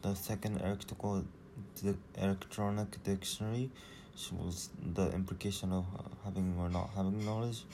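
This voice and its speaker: Low, flat-voiced teenage boy presenting. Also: moderate pace, slight background noise. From Japan. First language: Japanese. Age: teens. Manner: hesitant, many fillers and restarts. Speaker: student presenter. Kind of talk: school presentation.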